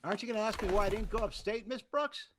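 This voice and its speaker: A man's voice: high-pitched, fast talker, a little hiss or hum, lightly acted. An actor playing a shy, earnest male teacher in a radio comedy, polite, hesitant and easily flustered. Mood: polite, puzzled. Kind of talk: 1940s radio comedy acting.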